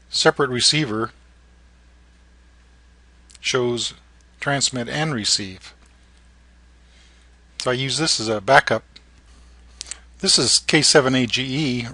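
A man with a bright, forward voice narrates an informal how-to. His phrasing is halting, broken into short pieces, and the sound is dry and close. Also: mid-range voice, no room sound. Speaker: amateur radio operator and narrator.

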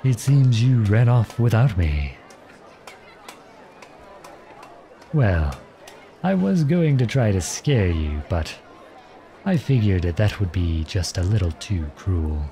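A man playing a charming, gentle, teasing outlawed prince in an ASMR roleplay, courtly, playful and tender in his way of talking. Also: on the low side, very animated, measured pace, a faint crowd, a little hiss or hum. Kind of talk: ASMR roleplay acting addressed to the listener.

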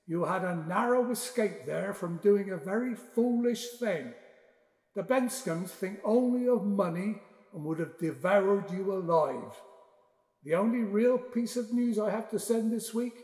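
An actor playing an affectionate older father reading out his letter of advice in an audio drama, measured and fatherly. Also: sits high, darkish tone. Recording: echoey long reverb.

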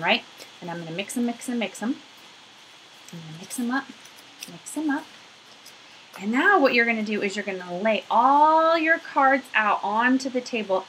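A woman's voice: fairly high pitch, fairly bright tone, slight background noise, low-quality recording. A woman in her thirties leading a craft activity for young children, talking to them directly in an encouraging way, recorded on a webcam at home. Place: small furnished room at home, indoors.

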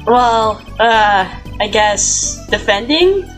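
A woman's voice: a little high, expressive, extremely slow, soft background music, rough recording. A woman voicing a flustered young male lawyer in a comedic game fandub, stammering and exasperated. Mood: flustered, baffled.

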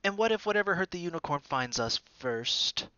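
A man's voice: animated, moderate pace, bright, forceful tone, no room sound, muffled recording. A man voicing a haughty, frightened rich schoolboy with a whiny voice. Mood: whiny, scared. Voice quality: whiny, higher-pitched.

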